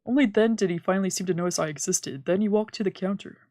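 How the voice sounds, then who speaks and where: A woman's voice: medium pitch, fast, mellow. A woman streamer narrating a visual novel aloud in a let's play, reading dramatically and sometimes stumbling over words.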